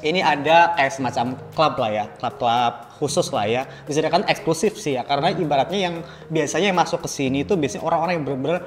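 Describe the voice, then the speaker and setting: A man hosting a casual podcast interview in a playful, animated, slangy and joking manner. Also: a little high, fast, in a large hall, a little hiss or hum. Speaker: podcast host.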